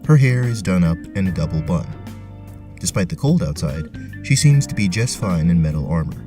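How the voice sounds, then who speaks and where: Low-pitched man narrating over music. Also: very animated, normal speed. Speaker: narrator and game host. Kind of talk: scripted storytelling narration for a tabletop adventure podcast.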